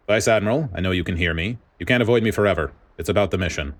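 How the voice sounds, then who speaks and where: A man's voice: deep voice, very fast. A man voicing a grating, condescending government director in an audiobook-style story, with dramatic, sugary fake pleasantness.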